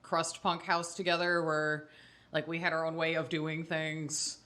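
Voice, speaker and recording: A woman's voice: low-pitched, level tone, a little slow, pushed and bright. A woman hosting a casual podcast, explaining game lore in a jokey, sweary, irreverent way. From Scotland. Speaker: podcast host.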